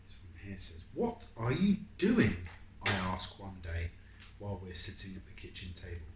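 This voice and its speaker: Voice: low voice, sing-song, normal speed. An adult reading a picture book aloud to a young child, encouragingly, with guiding questions and stretched-out story phrases. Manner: encouraging, asks guiding questions and confirms answers. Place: echoey room at home. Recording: roomy echo, some background noise.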